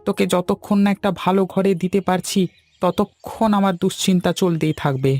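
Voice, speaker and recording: A man's voice: high-pitched, brisk, a little mellow, no echo, close to an everyday voice. A man voicing a weary, worried middle-aged shopkeeper father in a cartoon, in a plaintive, fretful way. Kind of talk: cartoon dialogue.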